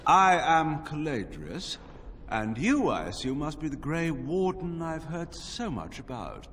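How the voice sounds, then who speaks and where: An actor playing a suave, mock-polite slaver mage in a video game, with a theatrical delivery. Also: male voice, fairly high pitch, big pitch swings, measured pace, fairly bright tone, slight background noise, full character voice. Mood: smug, menacing. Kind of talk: video game cutscene acting. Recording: long hall reverb, faint music.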